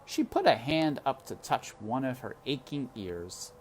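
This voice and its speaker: Storyteller casting: woman, low voice, highly expressive and unhurried, reading for children outdoors in light wind. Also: poor audio. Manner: animated, addresses listeners as friends. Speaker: read-aloud storyteller. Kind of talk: expressive children's book narration with casual asides. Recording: windy outdoor ambience noise.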